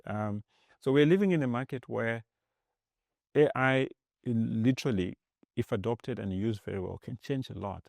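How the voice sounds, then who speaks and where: A man from Zambia, a tech executive, talking as a podcast guest in an animated way, often restarting and repeating words. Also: on the low side, creaky, no room sound, studio quality. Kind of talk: casual interview talk.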